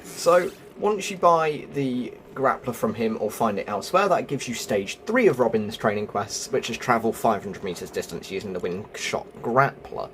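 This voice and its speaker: A man's voice: medium pitch, lively intonation, normal speed, a little hiss or hum. A man giving casual walkthrough commentary as the host of a gaming video. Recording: music behind the voice.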